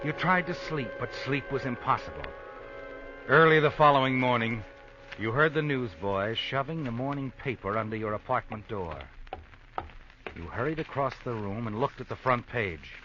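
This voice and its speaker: A man's voice: mid-range voice, music in the background, lightly acted. An actor playing a stern, knowing narrator who tells a woman her own story in dramatic old-time radio style. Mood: ominous. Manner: deliberate, ominous, knowing. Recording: dull vintage radio recording.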